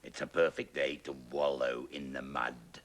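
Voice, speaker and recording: Man doing a loud grunting pig for a kids' story. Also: a bass voice, level tone, a little slow, exaggerated voice.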